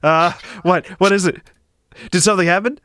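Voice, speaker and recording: A man's voice: high voice, steady tone, normal speed, a bit bright, clear, resonant tone, no echo, studio quality, pitched above the performer's own voice. A man voicing a wry, broke, laid-back space shuttle passenger in a visual novel, speaking casually. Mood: worried. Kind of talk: visual novel character reading.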